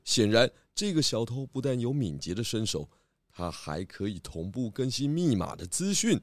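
A man playing a shrewd, confident pig detective in a children's radio play, explaining deductions in a deliberate, theatrical, gently lecturing way. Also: medium pitch, very animated, no echo, close to an everyday voice.

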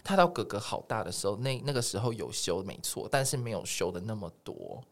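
A man with a Taiwanese accent chatting casually as a guest music producer, telling things in an animated way and quoting people in dialogue. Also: fairly low pitch, brisk. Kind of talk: casual podcast interview.

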